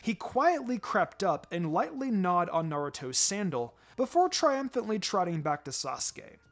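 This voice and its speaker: A man narrates fiction in an expressive reading style. His intonation moves up and down in a lively way, and music plays behind him. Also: high-pitched, normal speed. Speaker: story narrator. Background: background music.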